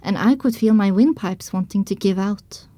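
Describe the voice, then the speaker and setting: A woman reading scripted first-person narration as the heroine of an audio drama, recounting her dark adventure. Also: medium pitch, normal speed, no room sound, close to an everyday voice. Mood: somber recounting.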